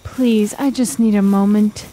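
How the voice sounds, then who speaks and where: A woman's voice: mid-range voice, fairly even intonation, a little slow, dark tone, natural voice. An actress playing a reluctant, comfort-loving woman traveler in a fantasy radio drama, fretful and plaintive. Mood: weary, pleading.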